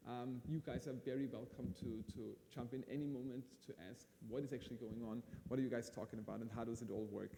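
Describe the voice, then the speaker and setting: Mellow Austrian man hosting in a hall. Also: mid-range voice, fairly even intonation, fast talker, creaky, few pauses. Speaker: event host. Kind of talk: live on-stage interview, informal and conversational. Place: large hall at a live event in Austria. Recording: hall reverb, audible room noise.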